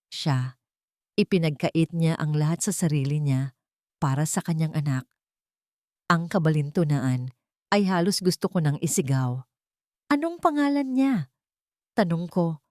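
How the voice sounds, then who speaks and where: A woman reading a scripted audio drama as a storyteller, in an emotive, suspenseful and deliberate way. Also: deep voice, a little mellow, no room sound, studio quality. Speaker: storyteller narrating in first person as an older woman.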